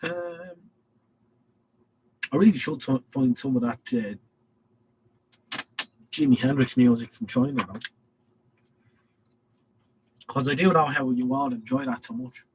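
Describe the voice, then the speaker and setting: A man's voice: mid-range voice, slow pace, long pauses, slight background noise, rough recording. A man with an Irish accent and a head cold, hosting a casual live stream and reading out viewer comments in a rambling way. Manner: rambling, reads chat messages aloud.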